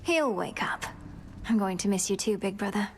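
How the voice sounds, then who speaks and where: Woman as a composed young princess, medium pitch. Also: expressive, moderate pace, a little hiss or hum, lightly acted. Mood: gentle, wistful. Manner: composed, formal, earnest. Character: earnest young underworld princess, composed and determined witch. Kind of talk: video game voice acting.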